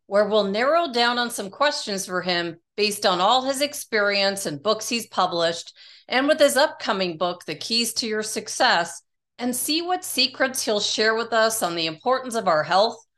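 A woman's voice: medium pitch, fairly bright tone. A woman hosting a podcast, reading a polished introduction and then asking her guest questions with enthusiasm. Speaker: podcast host.

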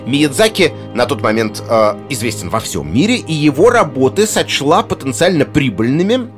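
A man giving an explanatory lecture in an expressive, erudite way, with rhetorical questions and asides. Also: medium pitch. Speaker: lecturer on film music. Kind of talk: semi-scripted explanatory narration on music and film.

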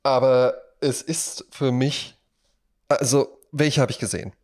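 A man talks as a podcast co-host in casual conversation. His pitch is average for a man. He speaks informally, often checking for agreement. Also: steady tone, measured pace.

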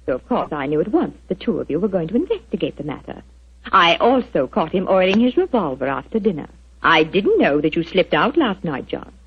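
An actress playing a gentle, quick-witted wife, modest and polite, in a 1940s radio drama. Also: female voice, lowish voice, very animated, husky, full character voice. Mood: matter-of-fact. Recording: dull 1940s radio recording.

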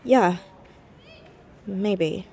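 An actress playing an inquisitive, headstrong teenage girl in an audio drama, insistent and quick to get defensive. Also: medium pitch, warm tone, breathy edge, exaggerated voice. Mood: probing, wistful. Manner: stammers when cornered, insistent. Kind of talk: naturalistic audio drama acting.

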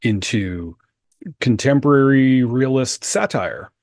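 A man's voice: mid-range voice, expressive, takes it slowly, a little mellow, no room sound, studio quality. A man co-hosting a podcast, asking questions in a wry, conversational way. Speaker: podcast co-host. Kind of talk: casual interview chat.

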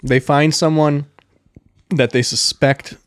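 A man's voice: a little high, fairly even intonation, slow pace, clear, resonant tone, no echo, studio quality. A young man co-hosting a podcast, talking casually in a rambling way with lots of fillers and laughing often. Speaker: podcast co-host.

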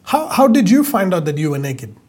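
Male preacher, high husky voice, emphatic, stretches words for weight. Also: big pitch swings, a little mellow. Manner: emphatic, repeats phrases, stretches key words slowly. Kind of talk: sermon.

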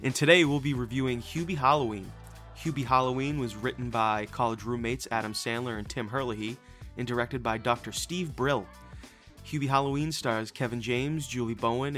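Profane, rambling young podcast host. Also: male voice, medium pitch, brisk, a bit bright, soft background music. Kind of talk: casual podcast banter.

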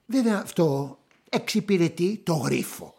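A woman's voice: lowish voice, lively intonation, creaky, dark tone. An actress playing a condescending writing tutor in a radio drama, lecturing a student about his crime stories. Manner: patronizing, lecturing.

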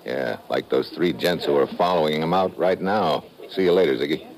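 Deep-voiced hard-boiled American secret agent. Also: male voice, normal speed, music in the background. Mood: brisk narrating. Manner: clipped, hard-boiled, wry. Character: tough, wry male American special agent. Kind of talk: 1950s radio adventure acting, first-person narration. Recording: old mid-century radio recording.

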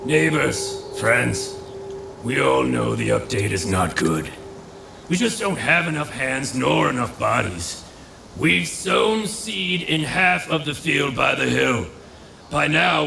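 A very gravelly older farmer acted by a man, weary and blunt, with animated, swooping pitch. He speaks emphatically in an echoey audio drama scene. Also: slow, exaggerated voice. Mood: weary, earnest. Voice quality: gravelly, creaky. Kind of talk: scripted audio drama acting. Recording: echoey with clear crowd noise.

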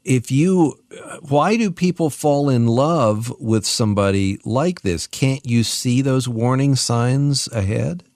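Slow-paced male radio co-host. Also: mid-range voice, animated, darkish tone, no room sound, studio quality. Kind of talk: conversational interviewing.

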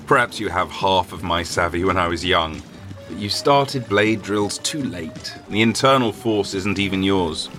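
A haughty old swordsman drawls his dismissive verdicts in a lowish voice, as a man reading the story puts him on. He sounds grumpy and sure of himself. Faint music sits behind. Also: expressive, measured pace, a bit bright. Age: older.

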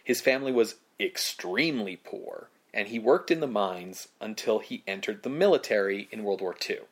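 Man reading out film history facts. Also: mid-range voice. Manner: reads prepared facts, relaxed. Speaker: podcast co-host. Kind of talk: casual film history chat.

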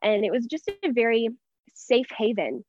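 A young American woman from Texas speaking as an interview guest, earnest and expressive as she reflects at length in personal conversation. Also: fairly high pitch, moderate pace, highs cut off. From Texas, United States. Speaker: interview guest, church member.

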